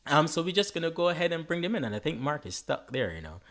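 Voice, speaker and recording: A man's voice: fairly high pitch, big pitch swings, fast talker, a bit bright. Easygoing Caribbean man hosting a live chat. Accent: Caribbean English. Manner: laughs often, easygoing and joking. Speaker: host. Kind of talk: casual live-stream chat.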